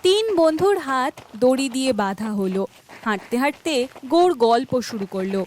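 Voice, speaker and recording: High, animated woman storyteller. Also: normal speed, slight background noise. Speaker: narrator. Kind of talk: scripted narration of a children's folk tale.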